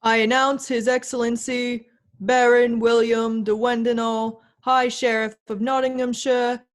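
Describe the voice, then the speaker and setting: An actress playing an officious herald in a radio play, announcing in a formal, declamatory manner. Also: female voice, fairly high pitch, little pitch movement, measured pace, lightly acted. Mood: pompous.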